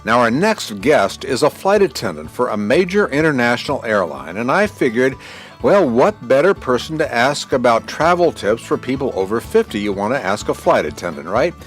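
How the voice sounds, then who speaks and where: A man's voice: mid-range voice, sing-song, moderate pace, soft background music. A man in his sixties hosting a podcast interview in a conversational way. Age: 60s. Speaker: podcast host.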